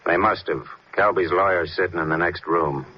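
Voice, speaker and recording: An actor playing a steady, authoritative police captain with a measured manner in an old radio crime drama. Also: male voice, low voice, natural voice. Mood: tense. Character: steady, fatherly male police captain in charge. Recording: muffled old radio recording, faint hiss.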